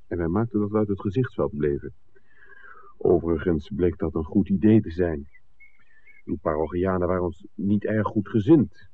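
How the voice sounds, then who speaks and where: An actor playing an earnest, persistent visitor looking into village secrets in a 1960s Dutch radio drama, polite and articulate. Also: male voice, fairly low pitch, breathy edge. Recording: thin, phone-like old radio recording.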